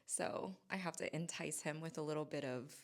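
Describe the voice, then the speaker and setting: A woman in her forties from Hawaii, talking through a reflective home vlog in a musing, halting way with many restarts. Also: low-pitched. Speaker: vlogger. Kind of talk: casual reflective monologue. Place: home in Southern California.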